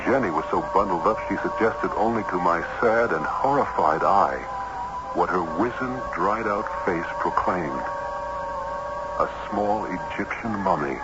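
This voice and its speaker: An actor playing an earnest, urgent traveler who narrates a supernatural tale in a literary, dramatic old radio style. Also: male voice, deep voice. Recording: muffled, very noisy old radio recording.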